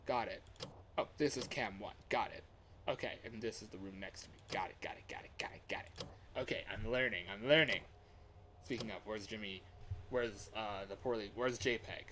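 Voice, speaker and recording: A man doing casual live gameplay commentary as a streamer, excitable and reactive, often muttering to himself as he plays. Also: lowish voice, normal speed, slight background noise. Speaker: livestreamer. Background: music.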